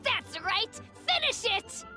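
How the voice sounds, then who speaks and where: A woman's voice: very high-pitched, slow pace, exaggerated voice. A woman voicing an eager, competitive young boy in an anime video game, childlike and earnest, over music. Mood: triumphant. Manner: earnest, excitable.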